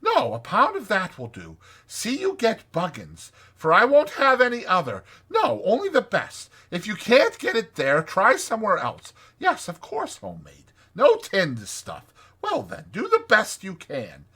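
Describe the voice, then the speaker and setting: A man's voice: high-pitched, huge pitch swings, a little slow, few pauses, bright, forceful tone, breathy edge, voice pushed up, full character voice. A man voicing a masterful, bossy rat who gives decisive orders in a dramatized children's storybook. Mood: insistent, fussy. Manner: commanding, decisive, issuing orders.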